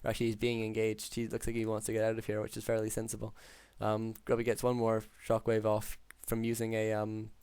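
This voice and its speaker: A man with a hoarse but smooth voice calls a strategy match on his own. He drifts through the plays with ums and quick reads, pitch barely moving. The sound is dry and close, with no room around him. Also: dry recording. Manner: steady, filler-heavy analytical play-by-play. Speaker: game caster. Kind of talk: solo esports play-by-play commentary.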